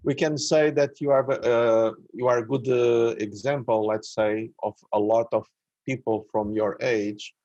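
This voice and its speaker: A male host guiding an interview, speaking deliberately with many pauses and "uh"s. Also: medium pitch, fairly even intonation, darkish tone, dry recording, highs cut off.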